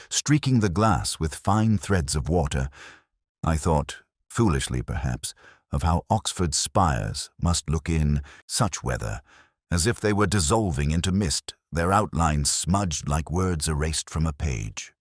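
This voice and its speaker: A man plays a melancholy, bookish detective telling his own story in radio drama style. His voice sits extremely low but moves expressively, with measured and wistful phrasing. The sound is dry, with very little room on it. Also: no echo, full character voice. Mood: wry, reflective. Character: weary, erudite, melancholy male police detective. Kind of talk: first-person literary scripted narration.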